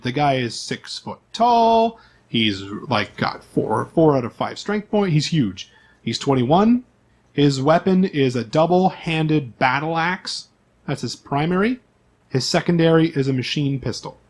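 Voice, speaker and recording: Guy game master, slightly high voice, going down a character list with casual asides. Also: expressive, unhurried. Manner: informal, list-like delivery with asides. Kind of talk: reading out character profiles for a tabletop game.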